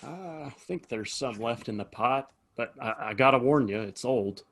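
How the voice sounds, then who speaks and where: A man playing a weary Old West saloon keeper in an audio play, husky, hesitant and folksy. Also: mid-range voice, animated, unhurried, fairly bright tone. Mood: curious, folksy. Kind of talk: Western audio play acting.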